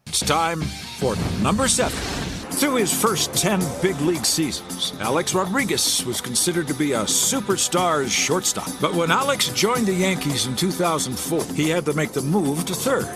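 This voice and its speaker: A man's voice: a little high, very animated, moderate pace, music in the background. A man narrating a scripted sports countdown.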